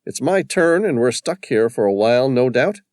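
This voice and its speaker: A man's voice: mid-range voice, very animated, moderate pace, a little mellow, no room sound, clean studio sound, voice pushed up, lightly acted. A male narrator voicing an easygoing, joking male paramedic in a storybook reading.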